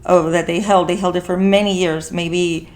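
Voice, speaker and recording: A woman's voice: on the low side, fairly even intonation, normal speed. Earnest woman hosting a casual talk. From Mexican heritage. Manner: earnest, repeats phrases for emphasis. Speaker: talk show host. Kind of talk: casual movie review discussion.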